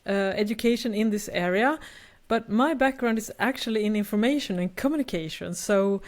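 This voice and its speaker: A woman's voice: mid-range voice, brisk. A Swedish woman in her fifties with a Swedish accent, co-hosting a podcast in a chatty, self-deprecating way with frequent laughs. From Sweden. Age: 50s. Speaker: podcast co-host. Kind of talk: casual podcast chat.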